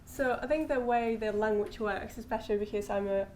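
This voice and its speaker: A woman whose first language is Finnish, speaking as a podcast guest at a conference and pausing often with thoughtful ums. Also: on the high side, a little mellow, slight background noise. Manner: thoughtful, hesitant with frequent ums. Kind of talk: conversational podcast interview. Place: conference venue.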